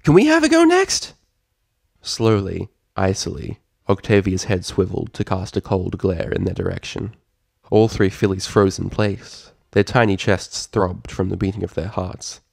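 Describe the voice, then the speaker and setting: A man narrates scripted fiction in a low voice with a gravelly, creaky edge. He reads expressively and with drama, and the recording is very dry and close. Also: little pitch movement, moderate pace, a little mellow, no room sound.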